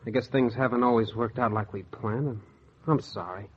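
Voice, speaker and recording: Actor as a mild 1940s radio husband, muffled. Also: male voice, mid-range voice, normal speed, husky, lightly acted. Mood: conciliatory. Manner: hesitant, gentle, conciliatory. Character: mild, practical, apologetic husband.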